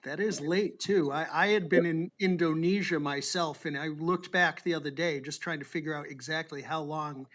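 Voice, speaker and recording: Boston guy hosting a chat show, kinda higher voice, talks in loose asides. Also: fairly even intonation, normal speed, hardly any pauses, a bit bright. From Boston, USA. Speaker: podcast host. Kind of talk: casual interview chat.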